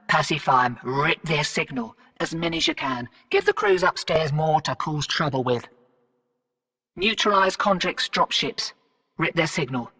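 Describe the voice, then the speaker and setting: Casting: brash British mercenary operator for a game; forceful, gritty, sardonic, commanding, heard through a big distant reverb. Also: female voice, low voice, exaggerated voice. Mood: businesslike, urging. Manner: slangy, cheeky, commanding. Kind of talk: video game mission briefing lines.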